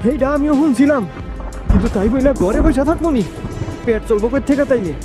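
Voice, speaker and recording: A man's voice: extremely high pitch, quick pace, music in the background. A man voicing a bold, impatient village fisherman in a cartoon horror story, with brusque colloquial speech and a rural Bangladeshi accent. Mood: defiant.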